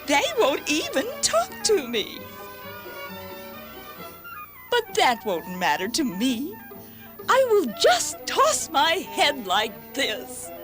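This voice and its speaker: High-voiced, theatrical young cartoon milkmaid. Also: female voice, full character voice. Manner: theatrical, drawn-out delivery. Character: vain, dreamy young milkmaid, melodramatic. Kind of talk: children's cartoon fable acting.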